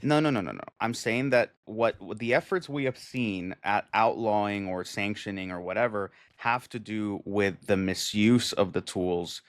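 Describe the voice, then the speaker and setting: A man's voice: lowish voice, moderate pace, hardly any pauses, no room sound. A man co-hosting a podcast, talking in a rambling, profane, argumentative way. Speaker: podcast co-host. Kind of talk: casual chat.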